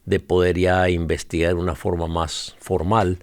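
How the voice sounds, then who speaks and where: A man's voice: deep voice, level tone, normal speed. A middle-aged man with a Honduran Spanish accent, telling his story as a podcast guest with lots of fillers. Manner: storytelling, earnest, with frequent fillers. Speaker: guest missionary leader. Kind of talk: conversational podcast interview.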